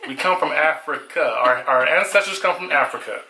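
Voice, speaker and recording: A man's voice: a little high, a little fast, pushed and bright. A young man from the United States chatting with his wife, laid-back and teasing. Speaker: husband and guest. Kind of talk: casual chat. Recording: echoey room.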